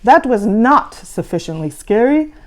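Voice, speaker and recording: Lady doing a strict old-school monster teacher guy from a kids book, bossy n slow, very animated. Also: fairly high pitch. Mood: exacting, instructive. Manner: slow, scolding, instructive delivery. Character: stern male monster teacher, schoolmasterly and exacting.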